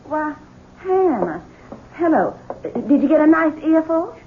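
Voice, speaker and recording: An actress playing a scheming, imperious young Southern wife in a radio drama, with a mocking, sarcastic Southern US delivery. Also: female voice, high-pitched, very animated, slow, a little hiss or hum, heavily acted. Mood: scornful. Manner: haughty, sarcastic, commanding. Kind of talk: 1970s radio drama acting. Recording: muffled, phone-like old radio broadcast.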